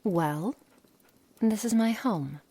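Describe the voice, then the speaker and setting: A woman playing a haughty, coolly controlling witch in an ASMR roleplay, speaking formally and deliberately in a breathy voice that often nears a whisper. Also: medium pitch, expressive, dark tone, full character voice. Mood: calm, gently amused. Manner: slow, formal, deliberate, condescending. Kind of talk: ASMR roleplay, scripted monologue to the listener.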